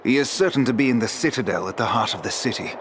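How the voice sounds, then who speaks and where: An actor playing a courteous, resolute elven warrior lord in a fantasy game, speaking in a formal, measured, archaic manner. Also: male voice, mid-range voice, lively intonation, noisy background, lightly acted. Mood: commanding.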